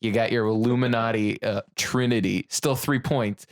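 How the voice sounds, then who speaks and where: A man's voice: mid-range voice, fairly even intonation, moderate pace. A man co-hosting a podcast in a casual, conversational way, ready to argue his point. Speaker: podcast co-host. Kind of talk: casual film discussion.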